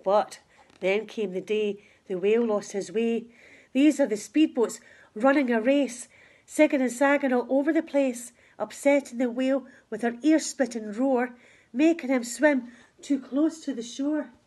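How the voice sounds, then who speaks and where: A woman's voice: fairly high pitch, unhurried, dark tone. A woman reading a rhyming picture book aloud to children like a teacher, with emphatic, dramatic phrasing. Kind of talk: expressive children's storytelling in rhyme.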